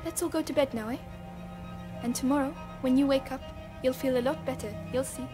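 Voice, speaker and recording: Brief: actress as a caring teenage sister, high, unhurried, musical score. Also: female voice, fairly even intonation, music in the background, lightly acted. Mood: gentle. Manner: gentle, reassuring. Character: protective teenage girl, older sister.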